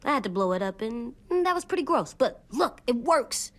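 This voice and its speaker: Excitable, high-pitched little boy. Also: a little fast. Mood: excited. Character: excitable young boy, playful, affectionate and curious. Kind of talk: video game voice acting.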